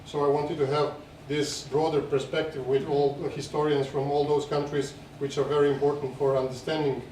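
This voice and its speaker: Mellow man in an echoey room. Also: a little high, flat delivery, a little fast. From Serbia. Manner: hesitant, repeats words while explaining. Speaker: documentary film director, festival guest. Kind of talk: unscripted interview answer. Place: echoey screening venue. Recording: echoey room, distant mic, faint noise.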